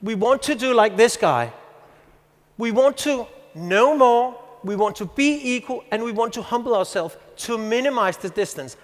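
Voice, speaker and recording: Danish man in his fifties speaking in a hall. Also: sits high. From Denmark. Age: 50s. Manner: storytelling, reads a passage aloud with pauses. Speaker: conference speaker. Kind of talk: presentation to an audience. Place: large conference hall. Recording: hall reverb.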